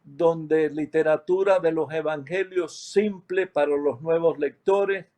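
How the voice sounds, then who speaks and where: A middle-aged man from Cuba with a Cuban accent, calmly recounting a ministry's history, pausing often and correcting himself as he goes. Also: a little high. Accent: Cuban Spanish. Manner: unhurried, with many hesitations and self-corrections. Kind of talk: slow, reflective testimony.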